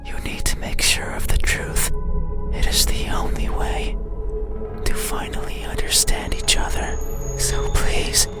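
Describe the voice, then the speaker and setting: Slow whispering lonely monster, acted by a man. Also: lightly acted. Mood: grateful, pleading. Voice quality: breathy unvoiced whisper. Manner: halting, formal, brooding, slow deliberate phrasing. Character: lonely, melancholy male monster stalking a sleeper at night. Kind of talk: whispered horror visual novel monologue, ASMR. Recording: whisper under loud music.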